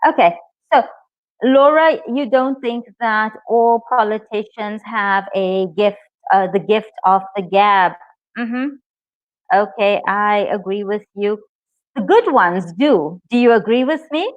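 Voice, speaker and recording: A woman whose native language is English, teaching a live online IELTS lesson, speaking slowly and clearly and cheering her students on. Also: mid-range voice, dry recording, highs cut off. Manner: slow, clear, encouraging, reads out student comments.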